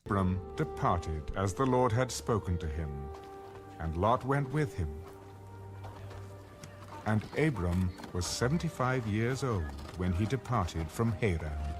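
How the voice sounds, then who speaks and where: A man's voice: fairly low pitch, animated, measured pace. A man narrating scripture as a scripted audio Bible reading, with music playing behind him. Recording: music under the voice.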